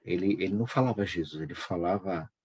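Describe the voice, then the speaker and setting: Man, Brazilian accent, very rough husky voice, lowish pitch, fervent devotional testimony, emphatic repetition. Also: quick pace, a little mellow, poor audio. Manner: fervent, emphatic, repeats phrases. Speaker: host of a devotional prayer broadcast.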